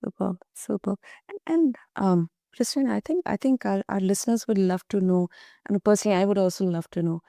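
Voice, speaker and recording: A woman's voice: medium pitch, a little fast, gravelly, dry recording, clean studio sound. A woman hosting an interview, asking long questions with hesitations and frequent restarts. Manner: hesitant, many fillers and restarts, warm praise. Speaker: podcast host.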